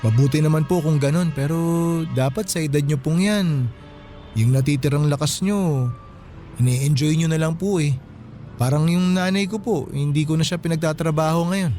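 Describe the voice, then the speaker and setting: An actor playing a kindly, respectful Filipino security guard, speaking gently in a radio drama. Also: male voice, a little high, moderate pace, faint music behind, natural voice.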